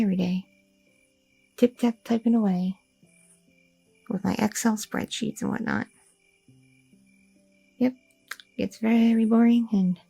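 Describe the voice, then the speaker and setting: Woman acting a mellow, husky goth girlfriend. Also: mid-range voice, exaggerated voice. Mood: relaxed, chatty. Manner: casual, rambling, teasing, slangy. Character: chatty, curious young adult goth girlfriend with an office job. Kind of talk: intimate ASMR audio roleplay.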